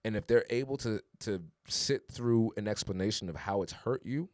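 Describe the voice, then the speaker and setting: A man's voice: on the low side, normal speed, darkish tone, no echo, clean studio sound. A man co-hosting a podcast, talking in an earnest, reflective way that builds to emphatic urging. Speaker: podcast co-host. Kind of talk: casual podcast conversation.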